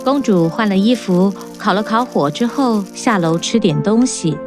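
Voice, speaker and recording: A woman narrating a children's fairy-tale cartoon in a calm, even storytelling manner. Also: mid-range voice, normal speed, music in the background.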